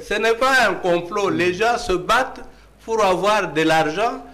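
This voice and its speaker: Slow, emphatic Congolese man in debate. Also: high-pitched, pushed and bright. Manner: emphatic, argumentative, rhetorical questioning. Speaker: debate panelist. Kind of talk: political debate.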